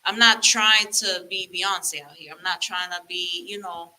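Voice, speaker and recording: A woman's voice: fairly low pitch, a little slow, poor audio. A Dominican woman, a comedian and rapper, chatting animatedly as a guest and slipping into Spanglish. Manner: animated, laughing, mixes Spanglish. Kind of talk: casual interview chat.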